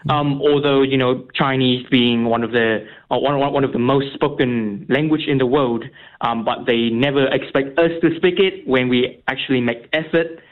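Young man, first language Vietnamese, phone-line guest, hesitant, lots of fillers. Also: medium pitch. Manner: halting, many fillers and restarts. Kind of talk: radio interview.

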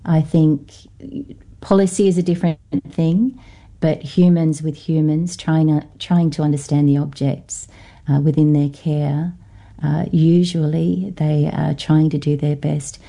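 A middle-aged woman from Norfolk Island with an Australian-influenced accent, answering interview questions earnestly with many pauses and fillers. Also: sits low, little pitch movement, gravelly, dark tone. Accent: Norfolk Island English, Australian-influenced. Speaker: guest, descendant and researcher.

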